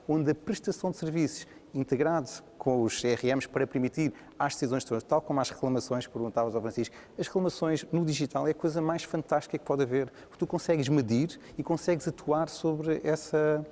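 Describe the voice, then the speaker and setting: A man's voice: fairly high pitch, a little mellow, long reverb tail. A man with a European Portuguese accent speaking on a business panel, emphatic and enthusiastic. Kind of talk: panel discussion.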